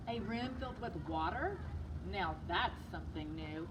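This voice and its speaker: A woman's voice: medium pitch, lively intonation, normal speed. A woman in her thirties reading a rhyming children's picture book aloud in a sing-song way, with brief asides. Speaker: read-aloud storyteller. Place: outdoors on concrete steps by a brick building. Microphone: camera a few steps away. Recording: distant mic outdoors with clear background noise.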